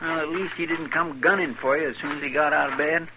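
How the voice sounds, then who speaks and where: Simple-hearted western deputy male actor: rural American drawl, higher pitch, eager to please, hissy vintage radio recording. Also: steady tone, quick pace, close to an everyday voice. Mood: concerned. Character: folksy, deferential, simple-hearted deputy sidekick. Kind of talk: 1950s radio drama acting.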